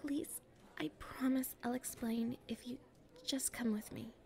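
A nervous popular schoolgirl played by a woman speaks in a fairly high, soft voice that often drops near a whisper. She takes her time, halting and pleading shyly, with a creaky edge. It is an intimate ASMR roleplay performance. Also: fairly even intonation. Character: nervous, shy-spoken popular schoolgirl with a secret crush.

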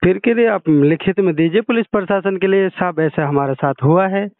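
A man's voice: sits high, a little fast, no echo. A man working as a journalist on a phone call, questioning and advising the caller in a matter-of-fact way. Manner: matter-of-fact, brief acknowledgements. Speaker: local journalist taking a call. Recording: narrow-band, dry phone call recording.

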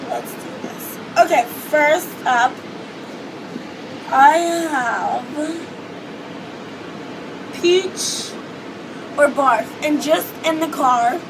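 A teenage girl chatting casually in a vlog on a hotel balcony above the beach, hoarse from losing her voice, rambling with lots of fillers. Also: sits high. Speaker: vlogger. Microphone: phone or webcam close in front. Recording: wind and wave noise outdoors. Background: ocean waves and beach noise.

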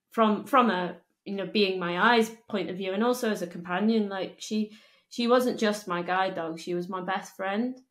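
Woman, 30s, interview guest; level mid voice, halting delivery, roomy echo on a home call. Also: medium pitch. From Liverpool, England. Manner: halting, with frequent restarts and ums. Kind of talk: casual conversational storytelling. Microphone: earphone mic on a video call. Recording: echoey room.

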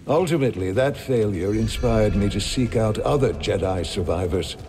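An older man playing a courteous, learned Jedi scholar in a video game, speaking in a measured, formal, gently wry way. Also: fairly low pitch, lively intonation, slight background noise. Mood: solemn. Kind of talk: video game cutscene acting. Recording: reverberant game scene with music. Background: cinematic music.

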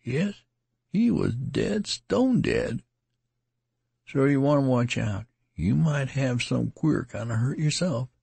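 Male reader doing a tattered, wounded rustic soldier: raised, rasping voice, rambling folksy speech, audiobook. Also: mid-range voice, lively intonation, slow, mellow, no room sound, pitched above the performer's own voice, heavily acted. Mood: rambling, worried. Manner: rambling folksy dialect, slurring as he weakens.

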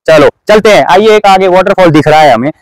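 High-pitched male voice, twenties, casual travel vlog, playful and excitable, outdoors on a phone camera. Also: brisk, no echo, poor audio. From Himachal Pradesh, India. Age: 20s. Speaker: travel vlogger. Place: outdoors on a forested mountain trail.

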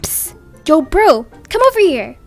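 A mischievous schoolboy prankster, acted by a young woman in a very high voice. He is slangy and gleeful in a game roleplay, with music behind. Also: big pitch swings, a little slow, heavily acted. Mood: gleeful, scheming.

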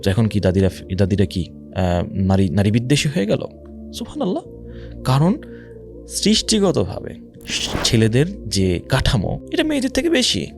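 Bangladeshi guy doing islamic commentary, mid pitched, pushy questions to the listener, bit of english, music clearly behind him. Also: wildly swooping pitch, normal speed, pauses often. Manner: mixes in English words. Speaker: Islamic speaker. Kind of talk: religious social commentary talk.

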